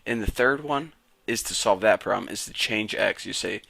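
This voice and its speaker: A raspy-voiced young man casually teaching a programming lesson to viewers. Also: lowish voice, moderate pace, sharp tone, dry recording. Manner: casual, chatty, talks to viewers as guys. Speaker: programming tutor. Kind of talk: casual screen-recorded coding tutorial.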